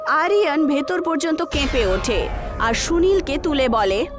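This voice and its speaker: High, animated woman narrating a horror story. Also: a little slow, music in the background.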